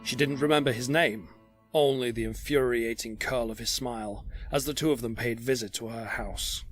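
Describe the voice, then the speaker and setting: A male narrator reads a scripted audio drama in a dramatic style. His voice sits at a middle pitch, with music clearly playing behind it. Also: steady tone, normal speed.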